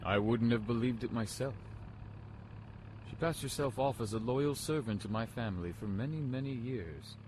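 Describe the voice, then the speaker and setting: A man playing a brooding, courtly gentleman in a gothic audio drama, earnest and formal in his delivery. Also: mid-range voice, normal speed, noisy background, natural voice. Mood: troubled.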